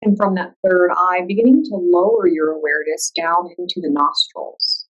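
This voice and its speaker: A woman guiding a meditation in a calm, soothing, unhurried way, leaving long pauses between instructions. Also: on the low side, dull audio. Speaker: yoga nidra meditation guide. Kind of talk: guided meditation.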